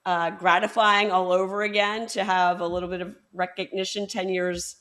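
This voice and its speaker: A woman's voice: on the low side, little pitch movement, normal speed, a bit bright. A woman hosting a book interview, giving short friendly replies and a closing thank-you. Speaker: podcast host and bookseller. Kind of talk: casual interview chat.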